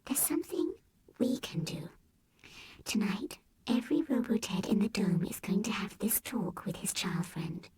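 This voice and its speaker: A woman voicing a loyal male robot teddy bear who talks softly in a breathy, whispery voice. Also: medium pitch, animated, normal speed, noisy background, pitched above the performer's own voice, natural voice. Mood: soft conspiratorial.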